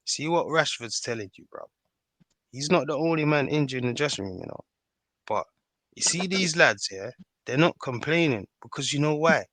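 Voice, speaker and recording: A man's voice: fairly high pitch, expressive, moderate pace, fairly bright tone, no echo. A man debating football casually, slangy and argumentative, often checking that listeners follow him. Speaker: football podcast panellist.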